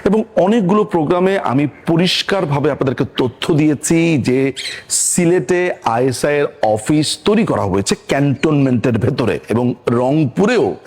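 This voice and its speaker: A man's voice: fairly high pitch, a little mellow. A man with a Bangladeshi accent hosting political commentary in an emphatic, rhetorical manner. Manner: emphatic, rhetorical questions and repetition. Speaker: political commentator and show host.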